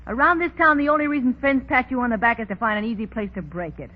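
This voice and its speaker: A woman's voice: fairly high pitch, fast talker, lightly acted. An actress playing a worldly, cynical but fond woman racketeer, with a wry streetwise manner in 1930s radio drama style. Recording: very muffled, noisy 1930s radio recording.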